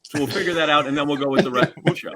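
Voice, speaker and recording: A man's voice: on the high side, rapid-fire, bright, forceful tone. A man co-hosting a podcast with casual banter and questions, joking and rambling as he goes. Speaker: podcast co-host.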